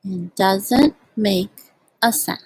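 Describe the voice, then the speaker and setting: A woman with a husky voice hosting a storytime for young children, speaking gently and in simple phrases as she reads and chats outdoors. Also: medium pitch, takes it slowly, no echo, rough recording. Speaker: children's storytime host at a community farm. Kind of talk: storybook read-aloud and casual chat to children. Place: outdoors at a farm.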